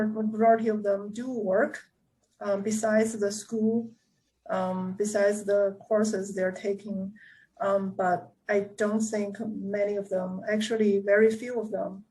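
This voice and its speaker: A woman's voice: mid-range voice, mellow, poor audio. An American woman, a community college engineering instructor, talking over a video call with frequent ums and restarts. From United States. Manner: hesitant, many ums and restarts.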